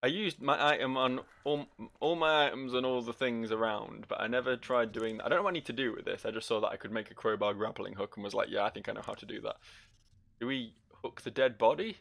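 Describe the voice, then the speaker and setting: A man's voice: medium pitch, fast, gravelly, fairly bright tone. A man giving casual, joking let's play commentary, rambling and teasing as he talks to the game's character. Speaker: gaming video host.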